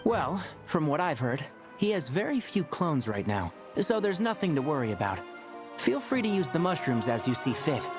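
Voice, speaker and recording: A man voicing a knowledgeable, calm, friendly young scholar in a video game, articulate and explanatory. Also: on the high side, normal speed. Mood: reassuring. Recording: game music behind.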